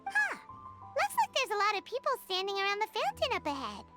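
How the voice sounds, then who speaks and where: A woman voicing a small, excitable, childlike fairy companion in a video game, squeaky and full of exclamations. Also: a little fast, loud music behind the voice, exaggerated voice. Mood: awed, curious. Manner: exclaims, speaks of self in third person. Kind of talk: video game cutscene voice acting.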